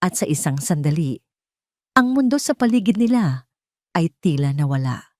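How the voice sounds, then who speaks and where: Mellow, low-voiced woman storyteller. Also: big pitch swings, moderate pace, dry recording, clean studio sound. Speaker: story narrator. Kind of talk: dramatic scripted narration.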